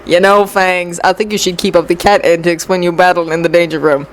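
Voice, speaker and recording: A gruff fighting man, put on by a woman storyteller, set lower than her narration. He is teasing and casual, coaxing and taunting like an adventure story tough guy. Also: flat delivery, brisk, natural voice, poor audio. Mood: gruffly approving. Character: gruff, teasing adult male mutant brawler.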